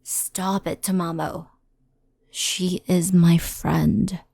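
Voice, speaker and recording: A woman voicing a gentle, loyal, slightly dazed resurrected yokai in an ASMR roleplay, soft and halting in delivery. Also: on the low side, little pitch movement, dry recording, heavily acted. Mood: loyal, resolute. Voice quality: soft, creaky.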